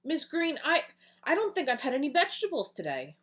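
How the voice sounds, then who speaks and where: A woman voicing an eager young male superhero in a children's story, talking in earnest, excited exclamations. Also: sits high, fairly quick, pitched above the performer's own voice, heavily acted. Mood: distressed.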